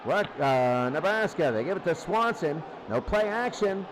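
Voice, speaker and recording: High-voiced man calling football over noise. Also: lively intonation, a little slow. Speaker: play-by-play sports commentator. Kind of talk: American football radio-style play-by-play commentary.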